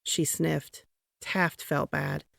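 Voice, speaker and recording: A woman narrating a children's picture book aloud in a dramatic, deliberate, expressive way. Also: low voice, creaky, breathy edge, no echo, studio quality. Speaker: storybook reader.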